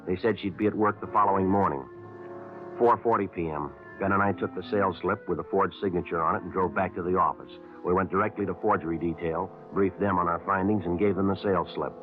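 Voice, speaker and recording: A man plays a terse police sergeant in a 1950s radio drama, very low-pitched and rattling through his report at a quick clip. He sounds clipped and flat, with no fuss. The audio is thin and tinny, with clear music underneath. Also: lightly acted. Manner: clipped, flat, matter-of-fact. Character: terse male police sergeant narrating a case. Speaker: detective narrator.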